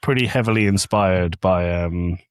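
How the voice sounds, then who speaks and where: A man's voice: low-pitched, moderate pace, no room sound, studio quality. A man in a casual podcast discussion, giving blunt, critical opinions as a panellist.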